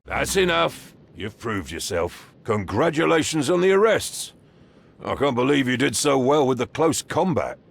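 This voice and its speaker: A veteran police sergeant in a video game, stern and condescending. The voice is full of gravel and moves emphatically up and down as he gives gruff orders. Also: medium pitch, sing-song, measured pace, full character voice. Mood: grudging approval.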